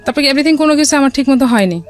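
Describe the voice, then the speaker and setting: A woman from Bangladesh with a Bangladeshi accent, telling a personal story as a radio guest in a casual, somewhat halting way. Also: high-pitched, expressive. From Dhaka, Bangladesh. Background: music.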